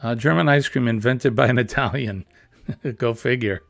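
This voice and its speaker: A man hosting a relaxed online chat, reading out viewer comments between laughs. His voice has a gravelly, creaky edge and sits close and dry against the mic. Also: medium pitch, moderate pace, dry recording. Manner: chatty, reads viewer comments aloud with laughs. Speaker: host. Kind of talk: casual livestream chat.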